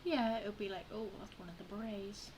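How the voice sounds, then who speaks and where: A woman streamer with a mid-pitched voice, chatting casually as she plays. She drops short muttered reactions, with clear noise behind her. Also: fairly even intonation, a little fast, darkish tone. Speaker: game streamer. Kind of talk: casual gameplay commentary.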